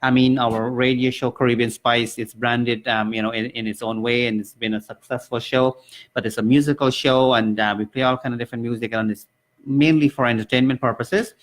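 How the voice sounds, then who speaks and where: A man's voice: medium pitch, steady tone, brisk. A man from Guyana hosting a live community radio talk show, speaking earnestly and loosely, with many fillers and restarts.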